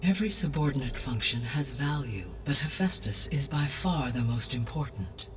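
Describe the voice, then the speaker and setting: A man voicing a calm ancient artificial intelligence in a video game cutscene, speaking in a measured, precise, clinical way. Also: mid-range voice, background noise, close to an everyday voice. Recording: game cutscene with music, distant.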